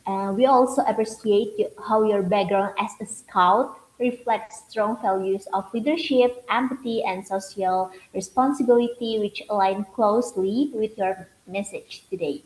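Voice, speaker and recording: A woman's voice: medium pitch, unhurried, hardly any pauses, a little mellow. Polite moderator woman in an echoey room. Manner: polite, formal. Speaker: webinar moderator. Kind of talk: scripted moderation.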